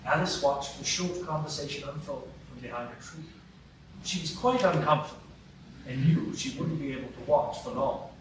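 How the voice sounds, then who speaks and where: Narrator for a live radio play: a man, a bit higher voice, measured storytelling delivery, distant mic, noisy hall.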